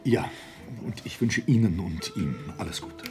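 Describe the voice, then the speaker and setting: Weary older director, low voice, very varied melody, formal, sighing, radio drama. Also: male voice, lively intonation, a little slow, music in the background. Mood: gentle, solemn. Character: weary, courteous Bauhaus director, older man, resigned. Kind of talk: German radio play acting.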